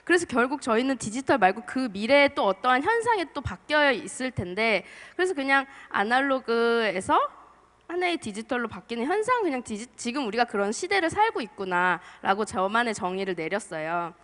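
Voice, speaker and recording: A woman's voice: on the high side, sing-song, a little fast. Young female marketer, slightly under the weather, casual presenter in an echoing hall. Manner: chatty, soft-spoken, with small laughs and restarts. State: has a cold, voice strained. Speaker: marketer giving a conference talk. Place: large lecture hall. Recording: long hall reverb.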